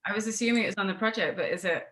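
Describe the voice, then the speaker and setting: A woman's voice: medium pitch, racing, fairly bright tone. A woman with a British accent hosting a casual, encouraging interview over a video call, chatty and informal. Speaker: interviewer and host.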